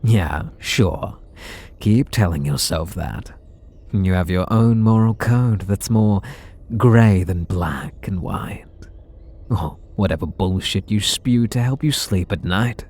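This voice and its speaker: A man's voice: deep voice, slow, darkish tone, breathy edge, full character voice. A man playing a sneering, sarcastic supervillain. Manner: sardonic and mocking. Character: sarcastic, bitter adult male supervillain. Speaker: voice actor. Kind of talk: ASMR roleplay audio drama.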